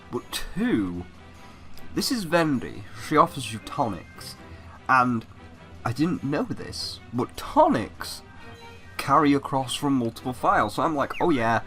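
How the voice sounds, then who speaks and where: A young man doing let's play commentary, slightly sick and stuffy, chatty and rambling with casual swearing. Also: medium pitch. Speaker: gaming YouTuber. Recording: loud game music behind.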